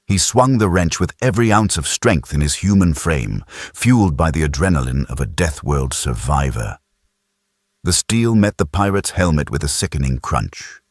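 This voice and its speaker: A man's voice: very deep voice, lively intonation, moderate pace, breathy edge, no room sound, studio quality. A man narrating a science fiction audiobook in a dramatic, scripted style.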